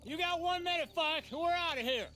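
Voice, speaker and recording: High, sharp-voiced fallen gentleman. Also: normal speed, slight background noise. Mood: haughty, impatient. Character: haughty, cowardly male heir of fallen gentry. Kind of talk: dramatic game cutscene acting.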